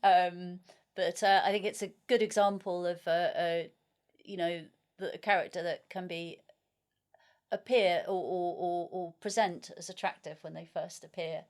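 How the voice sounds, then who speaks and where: A woman's voice: on the low side, fairly even intonation, unhurried. A woman interviewer speaking in a conversational way during a book discussion.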